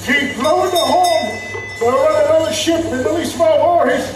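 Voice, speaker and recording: An actor playing a commanding but fretful ship's captain in an audio drama, giving halting orders. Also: high voice, natural voice. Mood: urgent command. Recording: very echoey, distant, loud music.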